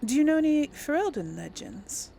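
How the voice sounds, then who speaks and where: A woman's voice: high-pitched, sing-song, moderate pace, creaky, warm tone, a little hiss or hum. A woman doing casual let's-play commentary, musing aloud and replying in role to game characters. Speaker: gaming host role-playing through a game.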